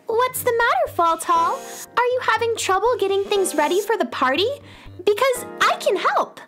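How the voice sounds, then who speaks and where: A woman's voice: extremely high pitch, animated, moderate pace, sharp tone, faint music behind, full character voice. A woman playing an eager, helpful, earnest little girl from space in a children's radio play. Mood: concerned, helpful.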